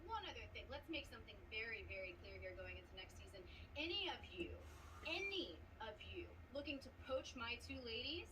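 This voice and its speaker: A high-voiced woman with a very lively, swooping delivery talks trash like a wrestling manager. She is brash, imperious and theatrical, heard a little way from the mic over clear noise. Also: fairly quick. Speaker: team manager in a trivia league. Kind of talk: wrestling-style promo trash talk. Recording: noisy, distant-miked event audio.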